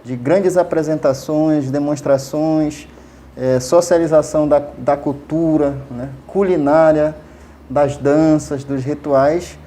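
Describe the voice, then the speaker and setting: A man's voice: fairly high pitch, fairly even intonation, slow pace, dark tone. Hesitant man. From Amazonas, Brazil. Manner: hesitant, many fillers and restarts, frequent tag questions. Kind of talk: casual explanatory interview answers.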